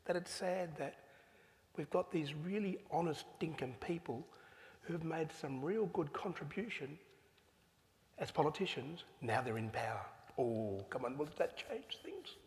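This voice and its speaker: A man's voice: fairly high pitch, lively intonation, unhurried, a little hiss or hum. Older aussie guy, fired up, keeps asking questions, big echoey cathedral. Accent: Australian. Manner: impassioned, rhetorical questions. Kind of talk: panel discussion. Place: large cathedral hall. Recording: long cathedral reverb.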